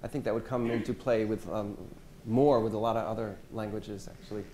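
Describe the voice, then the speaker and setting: A man, a French instructor, talking a workshop audience through his teaching in a conversational way, letting his phrase ends drop off quietly. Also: fairly low pitch, fairly even intonation, brisk, crowd noise. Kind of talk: workshop presentation and discussion. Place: medium-sized seminar room with audience. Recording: echoey room, faint hiss.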